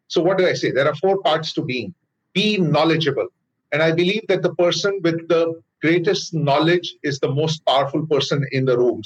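A middle-aged man with an Indian accent speaks in a fairly high voice. He answers interview questions thoughtfully and steadily, often pausing on "uh" as he gathers his points. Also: dull audio. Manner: thoughtful, with frequent "uh" pauses. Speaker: business leader guest.

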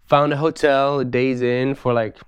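Man, smooth clear voice, mid pitch, relaxed podcast guest. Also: a little slow. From Switzerland. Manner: relaxed, easygoing storytelling with frequent "you know". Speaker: podcast guest, live show dancer. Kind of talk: casual interview chat.

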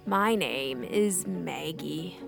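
An actress playing a gruff, folksy old woman hermit in a children's fantasy audio drama. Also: sits low, very slow, fairly bright tone, soft background music, exaggerated voice. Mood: welcoming, curious. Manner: crotchety, folksy, theatrical. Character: short, gruff but twinkly old woman hermit Maggie.

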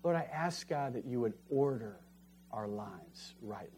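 A man preaching a sermon as pastor and leading a closing prayer, fervent, swinging from emphatic peaks to hushed prayer. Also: medium pitch, lively intonation, a little slow, slight background noise. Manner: fervent, rising to emphatic peaks then dropping to quiet prayer. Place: church sanctuary.

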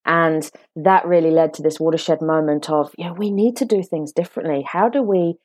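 A woman from the United Kingdom with a British accent, a guest explaining her ideas in a measured, reflective way. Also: sits low, darkish tone, no room sound, studio quality. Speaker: guest, author and coach. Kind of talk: podcast interview.

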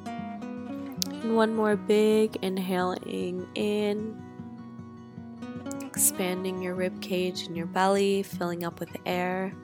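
A woman leading a guided meditation in a soothing, gentle way, with drawn-out phrases and pauses. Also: mid-range voice, little pitch movement. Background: guitar music.

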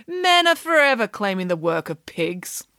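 A woman's voice: medium pitch, very animated, normal speed, sharp tone, no room sound, studio quality, voice pushed up. A woman voicing a glamorous, boastful pig nanny in an audiobook, grandly and emphatically explaining nonsense as fact. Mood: indignant, haughty. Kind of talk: audiobook character voice.

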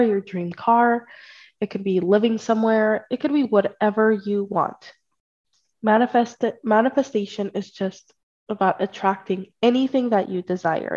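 A woman's voice: medium pitch, darkish tone. Woman podcast host with a stuffy, congested voice, chatty and reassuring, recorded on slightly dull lo-fi audio. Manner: chatty, often checking in with "right?" and "okay?". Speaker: podcast host and spiritual life coach. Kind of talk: casual solo podcast talk. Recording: dull lo-fi sound.

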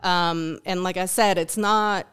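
A woman from Uruguay speaks English with a Uruguayan Spanish accent, her first language being Spanish. Her voice sits fairly low and comes through very clean and smooth. She talks thoughtfully, pausing on ums and restarting sentences. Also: steady tone, a bit bright. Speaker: guest, food bank volunteer. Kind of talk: podcast interview.

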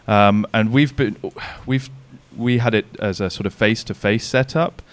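A man's voice: on the low side, moderate pace, no echo. A man talking casually as a podcast guest over a remote call, rambling with many restarts and fillers. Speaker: podcast guest, sauna business owner. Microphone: remote recording call.

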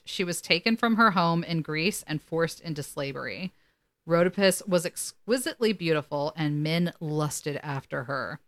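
A woman's voice: fairly low pitch, fairly bright tone. A woman co-hosting a casual podcast, reading articles aloud and dropping in wry asides. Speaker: podcast co-host.

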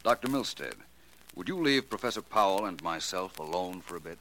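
A self-assured radio detective with a low, forceful voice. His delivery is polished and wry, with big swings of intonation. The old recording has audible noise and clicks. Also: expressive, brisk, exaggerated voice, rough recording. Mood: suave assurance. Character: urbane, confident male private detective. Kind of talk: 1940s radio acting.

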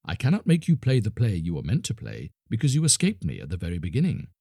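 A commanding ancient magician, voiced by a man, speaks low and slow with a husky, warm edge. Every word lands like a decree. The recording is close and dry. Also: huge pitch swings, dry recording, heavily acted. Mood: solemn. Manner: slow, low and solemn pronouncements.

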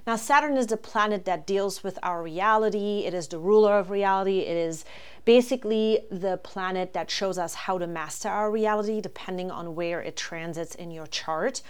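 A woman in her forties from Germany, hosting a solo astrology podcast and explaining things calmly, with frequent ums. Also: mid-range voice, normal speed, smooth.

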